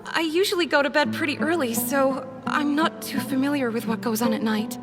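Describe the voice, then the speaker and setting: A woman's voice: high voice, brisk, loud music behind the voice, natural voice. A woman playing a timid, nervous young villager in an anime video game, hesitant and guarded. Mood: guarded, polite. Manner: hesitant, guarded, self-correcting.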